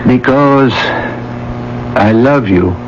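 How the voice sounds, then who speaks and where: A man in a radio drama playing a devoted middle-aged French gentleman with a gentle, courtly, measured manner. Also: medium pitch. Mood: earnest devotion. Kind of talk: old-time radio drama acting. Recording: dull, faintly noisy old radio broadcast.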